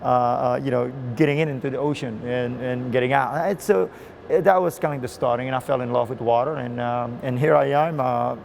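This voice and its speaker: A man from Indonesia who coaches swimming, talking loosely in a casual interview and looping through fillers like "you know." His voice has a gravelly edge over a middling pitch. A faint hum of noise sits under the recording. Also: steady tone, fairly quick, darkish tone. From Indonesia (Bali). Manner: rambling, many fillers and restarts. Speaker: swim coach.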